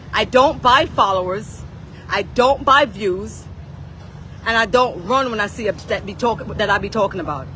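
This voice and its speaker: Woman, casual livestream rant, confrontational, repetitive emphasis, bright sharp voice, faint ambient noise. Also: on the high side. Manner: confrontational, profane, repeats phrases for emphasis.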